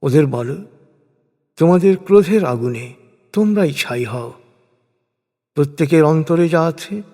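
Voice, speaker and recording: A man reading translated scripture as a solemn scripted narration, in measured, declamatory phrases. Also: on the high side, warm tone. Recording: long hall-like reverb.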